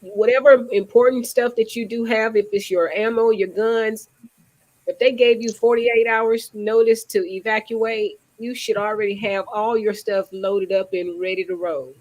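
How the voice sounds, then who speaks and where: A woman's voice: medium pitch, fairly even intonation, normal speed, a little mellow, poor audio. Stuffy-nosed woman hosting a call. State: congested, stuffy nose. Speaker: host. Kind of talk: casual video call chat.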